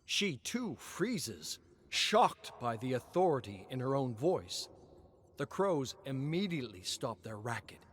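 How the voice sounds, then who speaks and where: A man delivers dramatic story narration in a measured, expressive way. His voice sits at a middling pitch. There is clear ambient noise behind him.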